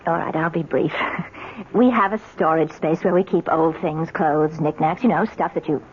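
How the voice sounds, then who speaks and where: A woman's voice: low voice, moderate pace, a little mellow, slight background noise, lightly acted. A woman playing a proper, brittle, insistent wife in a 1970s radio drama, precise and theatrical. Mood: flustered, polite. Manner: precise, theatrical, self-correcting. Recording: muffled old radio broadcast.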